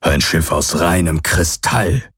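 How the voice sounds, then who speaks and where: A man reading an audiobook voices a grave male alien who tells of past events in a low, slow, husky voice. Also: fairly bright tone, no room sound, clean studio sound, exaggerated voice.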